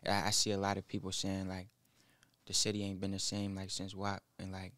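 A nineteen-year-old man, a rapper guest in a small studio, speaking haltingly into a boom mic with many fillers. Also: monotone, fairly bright tone, no room sound. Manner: halting, mumbled, full of fillers. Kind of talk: casual interview. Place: small studio with foam wall. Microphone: studio mic on boom arm, headphones.

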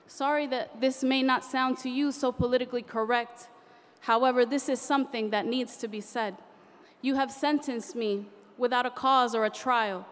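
Young woman, high voice, impassioned rhyming spoken word poet at a podium mic, big echoing convention hall. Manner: rhythmic, impassioned, rhyming delivery. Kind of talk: performed spoken word poetry. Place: large convention hall stage. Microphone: podium microphone.

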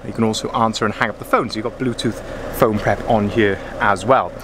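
A man's voice: lowish voice, fairly even intonation, fairly quick, background noise. Chatty man giving a van walkaround. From Wales. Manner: chatty, filler-heavy, repeats "as I say". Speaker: van sales manager. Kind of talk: walkaround vehicle review. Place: outdoor van yard, then inside a van cab. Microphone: handheld camera held by the speaker.

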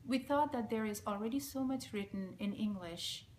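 A woman in her forties with a Bangladeshi accent, Bengali being her first language, reads a prepared message in a measured, solemn, steady way, facing a close camera in a small room. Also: medium pitch, a little hiss or hum. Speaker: speaker giving a recorded message. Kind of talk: reading a prepared statement. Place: small indoor room, plain wall. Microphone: front-facing camera at close range.

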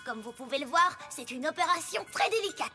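A woman voicing a brash, excitable young female pegasus pony with nerves underneath, in a cartoon dub. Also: high-pitched, fairly quick, soft background music, exaggerated voice. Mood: upbeat.

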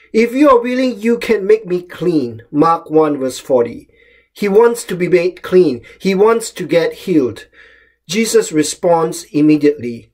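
A middle-aged man from Malaysia gives a short home sermon in a calm, measured voice that sits a little high for a man. He lifts the openings of his phrases for emphasis. He is recorded close up on a webcam in a small room. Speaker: pastor. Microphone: webcam or laptop camera close up.

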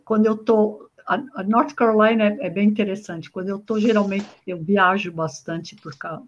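A woman's voice: medium pitch, steady tone, normal speed. A middle-aged woman from Brazil, an engineering professor guesting on a video-call interview, talking reflectively with frequent restarts and repeats. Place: home room with bookshelves. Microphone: webcam on video call. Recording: muffled video-call audio.

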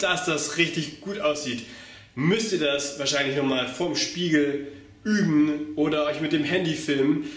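A man's voice: a little high, a bit bright. Young man instructing in a very echoey room. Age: young adult. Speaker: circus pantomime instructor. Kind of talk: step-by-step demonstration for children. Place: bare indoor room with hard walls. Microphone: camera mic across the room. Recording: very echoey room, far from mic.

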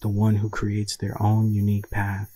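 A man leading a guided meditation in a soothing, deliberate manner with long pauses and a husky voice. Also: on the low side, completely flat delivery, mellow.

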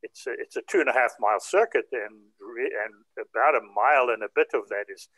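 A man's voice: mid-range voice, quick pace, a bit bright, breathy edge, no room sound, low-quality recording. Older man chatting, dry close sound. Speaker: participant in a hobby group chat. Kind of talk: casual chat, recounting. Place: indoors, on a group video call. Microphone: video call.

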